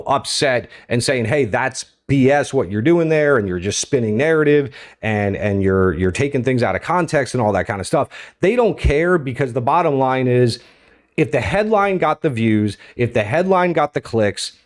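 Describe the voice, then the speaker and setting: A man's voice: medium pitch, a little mellow. An American man hosting an unscripted political commentary, talking informally and in a rambling way, often checking in with his listeners. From United States. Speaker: host of a political commentary show. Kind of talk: unscripted opinion commentary to viewers.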